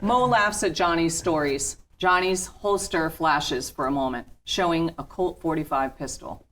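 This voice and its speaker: A woman with a slightly low voice serves as narrator at a table read. She delivers scene headings and stage directions as scripted narration. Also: measured pace, fairly bright tone.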